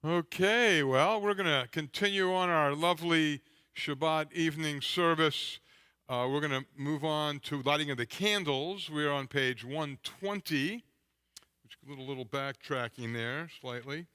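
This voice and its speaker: A man leading a worship service, singing slow repeated lines and then talking casually to the congregation in a synagogue sanctuary. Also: fairly high pitch, sharp tone, smooth, no room sound, clean studio sound. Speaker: service leader. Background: guitar music.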